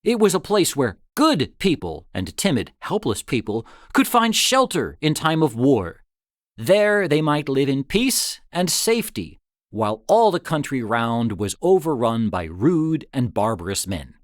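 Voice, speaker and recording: Expressive male storyteller with very animated pitch. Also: unhurried, no room sound, clean studio sound. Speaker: story reader. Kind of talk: scripted narration of an old tale.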